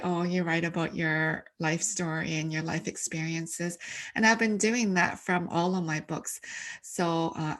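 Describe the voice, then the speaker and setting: A middle-aged woman with a slightly low voice speaks as an interview guest on a remote call. She talks conversationally, in the personal storytelling way of an academic and author. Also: a bit bright. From Canada, born in Korea. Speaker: podcast guest, theology professor and author.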